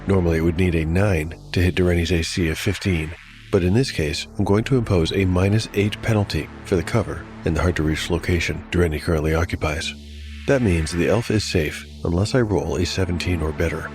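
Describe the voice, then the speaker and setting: A man with a very low voice narrates a fantasy tale as game master. His delivery is scripted and dramatic, with faint music underneath. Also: fairly quick, darkish tone.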